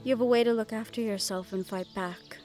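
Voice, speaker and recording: A woman playing a sheltered young noblewoman in a fantasy audio roleplay, gentle, wistful and quietly willful, with formal phrasing. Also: a little high, steady tone, brisk, soft background music, lightly acted. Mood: earnest, teasing. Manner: formal speech with few contractions. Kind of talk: fantasy audio roleplay acting, addressed to the listener.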